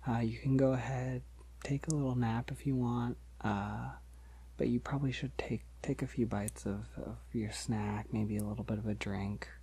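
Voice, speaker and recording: A man playing a polite, gentle, shy vampire in an ASMR roleplay, speaking softly and hesitantly to reassure the listener, often near a whisper. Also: medium pitch, steady tone, breathy edge. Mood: soft, grateful. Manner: hesitant, reassuring, halting with soft chuckles.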